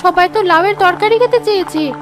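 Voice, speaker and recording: A woman's voice: extremely high pitch, fast talker, over music. A woman voicing an anxious, timid young daughter-in-law in a cartoon, fretful and full of self-doubt. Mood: panicked, worried. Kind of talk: cartoon story voice acting.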